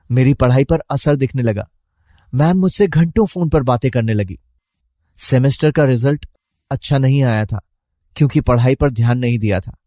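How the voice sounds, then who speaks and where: A man's voice: fairly high pitch, dark tone, dry recording, clean studio sound. A young man narrating a scripted first-person story as a college student.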